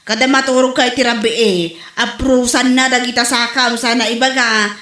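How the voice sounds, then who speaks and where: Woman acting preachy scolder, Ilocano accent, very high voice, roomy echo, comedy drama. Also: fairly even intonation, normal speed, fairly bright tone, lightly acted. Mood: accusing. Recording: echoey room.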